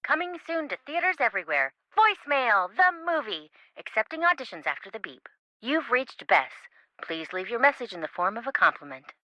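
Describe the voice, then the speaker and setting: A bubbly, quirky young woman from a video game, teasing and theatrical. Her pitch leaps around in a bright, forward voice. The sound is dry, with no room to it. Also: sing-song, a little fast, no room sound, low-quality recording. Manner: playful, theatrical, joking.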